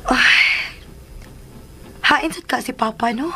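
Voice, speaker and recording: Radio drama role: eager Filipina teen bride-to-be, high voice, respectful, faint noise behind. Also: female voice, slow pace, sharp tone, exaggerated voice. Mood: troubled. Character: young woman, seventeen, eager to marry, respectful.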